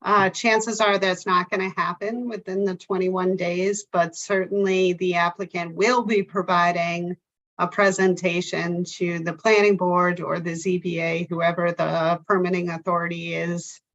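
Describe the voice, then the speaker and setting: A woman on the town staff walks through the process in a slow, measured way, stopping now and then on fillers. She speaks over a remote video call, and the audio is dull and lo-fi. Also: on the low side, flat delivery, a bit bright. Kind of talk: remote public meeting explanation.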